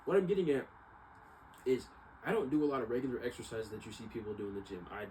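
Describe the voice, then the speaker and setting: A man's voice: mid-range voice, sing-song, fast, a little mellow, slight background noise, low-quality recording. A young American man telling a story casually, with a rambling, slangy manner, often correcting himself. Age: young adult. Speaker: vlogger, exercise science student.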